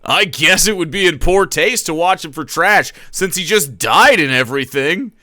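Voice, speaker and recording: A man voicing an excited streamer greeting his chat in a high, loud, upbeat voice. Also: normal speed, no room sound, clean studio sound.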